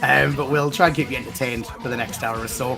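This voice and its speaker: A man hosting a wrestling podcast, his voice croaky from being unwell, rambling and restarting. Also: mid-range voice, steady tone, fast talker, over music. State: croaky voice from recent illness. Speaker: podcast host. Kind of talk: casual podcast chat and news reading.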